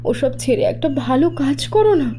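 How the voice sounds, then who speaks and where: Casting: actress as anxious young woman for horror cartoon; high, soft-edged mellow voice, earnest and pleading. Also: expressive, a little fast, slight background noise. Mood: earnest, determined. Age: young adult. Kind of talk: animated horror cartoon dialogue.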